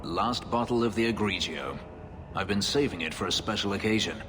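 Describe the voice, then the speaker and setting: A man's voice: lowish voice, brisk, noisy background, natural voice. A man playing a brooding elven ex-slave. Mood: wry. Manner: grave, clipped, controlled intensity. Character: brooding elven male ex-slave warrior, bitter. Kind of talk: video game voice acting. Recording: game audio with reverb and music.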